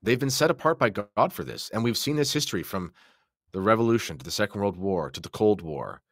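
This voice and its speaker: A man from Canada with a low voice talks as a guest on a religious podcast. He explains his points earnestly and conversationally, recorded dry with hardly any room sound. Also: expressive, fairly quick, no echo. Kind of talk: casual religious discussion.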